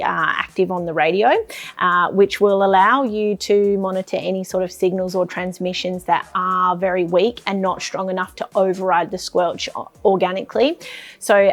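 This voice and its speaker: An Australian woman presenting an informal, unscripted product demonstration, explaining step by step with some hesitation. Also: medium pitch. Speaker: product presenter for an outdoor shop's channel.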